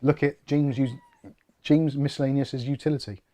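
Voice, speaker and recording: A man's voice: medium pitch, steady tone, moderate pace, warm tone. A middle-aged British man hosting a casual livestream, rambling and joking as he reads out viewer comments. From United Kingdom. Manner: rambling, jokey, self-deprecating. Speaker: host of a tech channel livestream.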